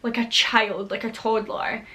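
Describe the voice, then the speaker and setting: A woman's voice: fairly high pitch, little pitch movement, unhurried, pushed and bright, low-quality recording. Bubbly Scottish woman in her thirties. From Scotland. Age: 30s. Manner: chatty and bubbly, with playful whispered asides. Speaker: vlogger. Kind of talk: casual chat to camera.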